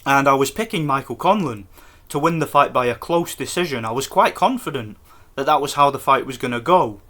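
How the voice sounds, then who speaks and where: A man from Scotland giving a casual, excited post-fight review for his vlog, rambling with restarts. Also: mid-range voice, fairly quick. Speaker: boxing vlogger.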